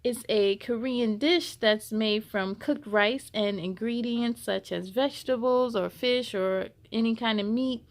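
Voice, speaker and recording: A woman with a high voice hosts story time for children. She takes her time, reading picture-book lines with lots of expression and speaking directly to her young audience in a sing-song way. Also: a little slow, hardly any pauses.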